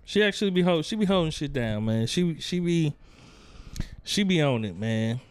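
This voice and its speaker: A man's voice: a little high, expressive, normal speed, clean tone, no room sound. A man hosting a podcast in a laid-back, joking, rambling way. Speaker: podcast host. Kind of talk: casual podcast banter.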